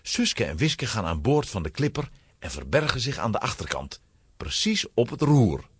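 A man's voice: fairly low pitch, sing-song, moderate pace, breathy edge, no room sound, clean studio sound. A man narrating a scripted children's adventure story in a dramatic style.

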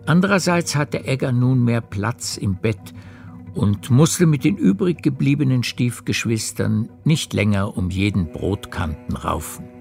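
Male narrator for literary audio drama: low register, gravelly, measured, over prominent music. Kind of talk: scripted literary narration in a radio play.

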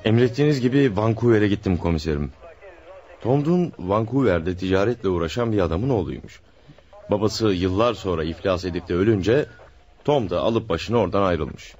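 An actor playing a dutiful police subordinate in a radio play, giving respectful, orderly reports on a dull recording. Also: male voice, deep voice, expressive, moderate pace, darkish tone. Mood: respectful, reporting. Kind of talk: radio theatre acting.

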